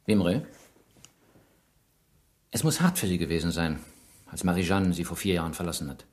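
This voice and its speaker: An actor playing a shrewd, insistent police inspector who probes and presses a suspect in a radio crime drama. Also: male voice, low-pitched, moderate pace, darkish tone, close to an everyday voice. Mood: probing, coaxing. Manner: probing, pointed, pressing questions.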